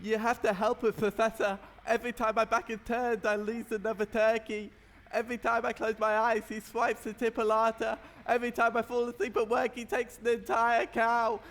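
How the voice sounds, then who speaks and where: Man voicing a high, woeful butcher puppet. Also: completely flat delivery, normal speed, fairly bright tone. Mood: desperate pleading. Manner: lamenting, overwrought, pleading. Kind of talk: comic puppet-show musical performance.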